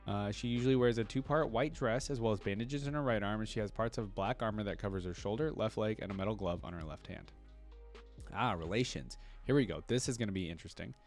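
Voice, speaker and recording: Male reaction creator, lowish voice, casual lore read-through with off-the-cuff asides, faint music underneath. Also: quick pace. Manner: interrupts reading with conversational asides.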